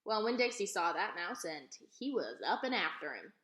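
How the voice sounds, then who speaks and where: Expressive woman storyteller in an echoey room. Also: mid-range voice, moderate pace. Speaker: read-aloud storyteller. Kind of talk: expressive children's book narration.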